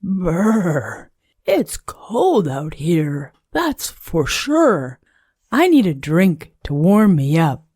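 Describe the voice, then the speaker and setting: A woman's voice: fairly low pitch, very animated, drawn-out words, a little mellow, breathy edge, no room sound, clean studio sound, full character voice. A woman voicing a lovable, cheerful, dramatic snowman who is forever cold, in a children's storybook read-aloud. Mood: shivering, complaining.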